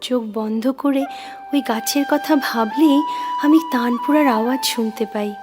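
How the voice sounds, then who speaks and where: An actress playing a fragile, poetic, troubled young woman in an audio drama, brooding and intense, with halting repeated words. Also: on the high side, over music, lightly acted. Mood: wistful, brooding. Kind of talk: Bengali audio drama acting.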